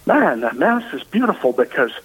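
A man, a parish priest, speaking loudly and energetically as a guest on a radio interview. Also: a little high, normal speed, breathy edge, low-quality recording. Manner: loud, energetic and emphatic.

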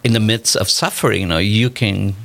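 A man's voice: fairly low pitch, a little fast, gravelly, fairly bright tone. A man in his fifties from Peru, a native Spanish speaker with a Peruvian accent, answering questions conversationally as an interview guest.

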